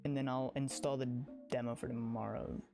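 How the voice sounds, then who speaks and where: A game streamer chatting casually on a livestream, informal and excitable, rambling along into a close microphone. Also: mid-range voice, a little fast, creaky. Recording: music under the voice. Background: music.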